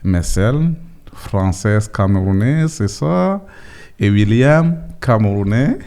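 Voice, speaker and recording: A man with a deep voice hosts an interview podcast. His tone is dark and mellow, and he puts questions informally, often checking back with a short tag. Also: expressive, slow, smooth. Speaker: host.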